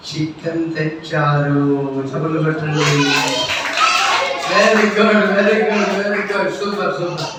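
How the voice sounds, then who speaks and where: A man's voice: sits high, pushed and bright. A man hosting a church children's show, animatedly addressing the kids with repeated questions in call and response. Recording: very echoey hall, distant mic, noisy.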